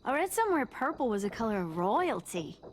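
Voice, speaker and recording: A chirpy teen girl organizer in a game, high bouncy voice, upbeat n folksy, faint night ambience. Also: big pitch swings, a little fast, slight background noise. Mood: upbeat, thoughtful. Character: chirpy, bustling teenage girl party organizer. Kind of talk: video game voice acting.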